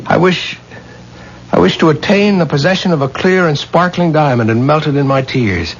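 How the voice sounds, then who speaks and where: An actor playing an obsessed young mystic student in a 1970s American radio drama, brooding, fervent and theatrical. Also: male voice, fairly high pitch, moderate pace, slightly rough. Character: intense, obsessed young male mystic student, lovesick and defiant. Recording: dull, faintly noisy 1970s radio recording.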